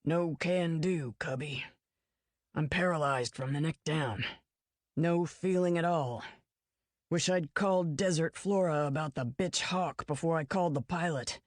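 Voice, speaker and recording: Woman reading a deep, slow, grandiose dying brute; dry close mic. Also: dry recording. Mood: serene. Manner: slow, low, pompous and unhurried. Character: big dying male killer, grandly calm.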